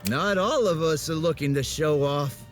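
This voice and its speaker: A man's voice: fairly high pitch, moderate pace, soft background music, lightly acted. An actor playing a dry, grumbling older man, a former dance teacher, in a video game. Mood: dry, modest. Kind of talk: video game character acting.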